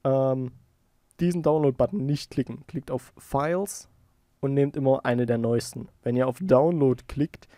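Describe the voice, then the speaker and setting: A man giving a casual software tutorial, informally thinking aloud as he goes. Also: medium pitch, a little slow, no room sound. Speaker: tutorial host.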